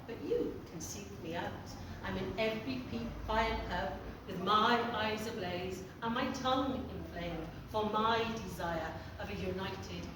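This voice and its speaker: A woman's voice: mid-range voice, unhurried, very echoey, recorded from a distance, background noise. A woman reciting her poetry outdoors with passion. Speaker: poet. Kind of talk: poetry reading. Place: outdoors outside a cafe.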